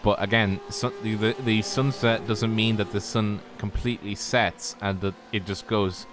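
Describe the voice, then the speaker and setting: A man co-commentating a live online race, explaining at length in a relaxed, agreeable way. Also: fairly low pitch, normal speed.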